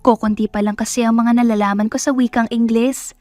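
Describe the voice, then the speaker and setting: A woman's voice: on the high side, fairly even intonation, a little fast, darkish tone, no echo, faint music behind, natural voice. A woman voicing a sweet, naive young provincial woman in a romance audio drama, earnest, timid and full of questions. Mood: curious.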